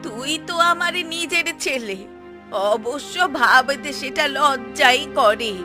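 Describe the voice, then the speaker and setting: High-pitched nagging cartoon housewife played by a woman. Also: slow, over music. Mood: scolding. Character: scolding, sharp-tongued Bengali mother and housewife. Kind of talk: cartoon voice acting.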